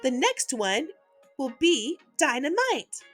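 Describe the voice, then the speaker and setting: A mellow, easygoing storybook cat who drawls his words in a high, lilting voice that rises and falls a lot, as a woman reads him aloud. He takes things slowly and stays relaxed. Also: faint music behind, heavily acted. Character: cool laid-back male cartoon cat.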